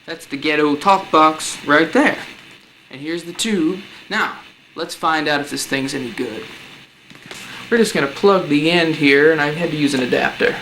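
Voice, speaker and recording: A man's voice: a little high, normal speed, pushed and bright. A man giving a casual hands-on tutorial, informally talking through each step as he works. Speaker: presenter of a DIY build tutorial. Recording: noisy amateur home recording.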